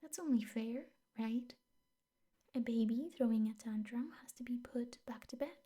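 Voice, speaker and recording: A young woman playing a possessive, sweetly menacing teacher girlfriend in an ASMR roleplay, speaking softly, intimately and often in whispers. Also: a little high. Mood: sweetly controlling. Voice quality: soft, breathy, often whispered. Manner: slow, intimate, coaxing with veiled threats.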